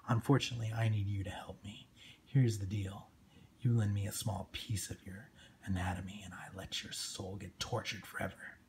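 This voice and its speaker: A man voicing a menacing, taunting monstrous demon from a comic book in a hushed, breathy whisper. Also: lowish voice, level tone, normal speed, pitched below the performer's own voice, close to an everyday voice. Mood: taunting, threatening. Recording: noisy amateur recording with room ambience.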